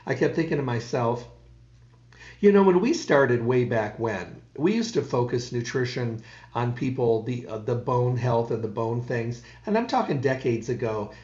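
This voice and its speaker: A man's voice: mid-range voice, lively intonation, normal speed, darkish tone, reverberant, muffled recording. A man hosting a radio talk show, folksy and rambling with many restarts. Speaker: radio host. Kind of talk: casual radio chat.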